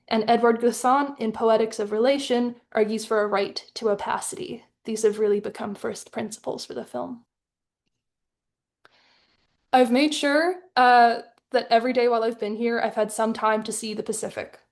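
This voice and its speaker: A woman from Hong Kong giving a prepared artist talk over a video call, speaking in a thoughtful, measured, articulate way. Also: fairly high pitch, darkish tone. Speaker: artist in residence presenting her animated film. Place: live online webinar.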